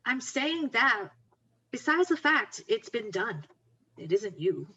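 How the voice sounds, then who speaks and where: A woman's voice: on the high side, big pitch swings, slow, bright, forceful tone, slightly rough, lo-fi sound, full character voice. A woman playing a blunt, confident, wry young friend in a screenplay table read, speaking frankly and coaxingly. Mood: blunt, challenging. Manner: frank, coaxing, plain-spoken.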